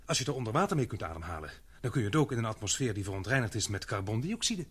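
A man's voice: lowish voice, very animated, rapid-fire, husky. An actor playing a keen, daring young man on an expedition in a radio play, respectful toward his chief. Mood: eager. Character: eager, daring young male expedition member. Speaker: expedition member.

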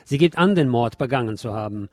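A man's voice: mid-range voice, lively intonation, fairly quick, darkish tone, dry recording. A man hosting a radio show, reading a film review from a script with chatty asides to his listeners.

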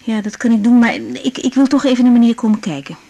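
An actress playing a young woman doctor in a radio drama, calm, caring and gently persistent, speaking in a natural, conversational way. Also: fairly high pitch, quick pace. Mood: businesslike, concerned. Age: young adult.

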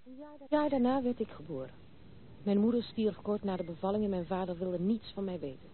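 A woman's voice: medium pitch, lively intonation, a little fast, close to an everyday voice. Actress as a frank young noblewoman, thin old recording. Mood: candid, reminiscing. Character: proud, blunt, tomboyish young noblewoman nicknamed the Major. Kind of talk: Dutch radio play acting. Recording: tinny, band-limited, noisy old radio recording.

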